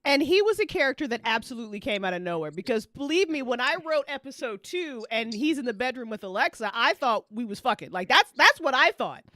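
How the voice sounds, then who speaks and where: A woman chatting casually as a writer about her audio drama, with animated, slangy, swear-laden storytelling. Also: on the high side, a little fast, hardly any pauses, no echo, studio quality. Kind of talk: casual after-show chat.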